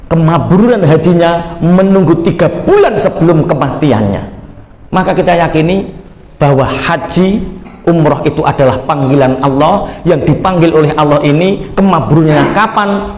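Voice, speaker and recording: Echoey Javanese-accented male preacher, higher voice. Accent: Javanese Indonesian. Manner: folksy, animated storytelling mixing Indonesian with Javanese. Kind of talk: storytelling sermon.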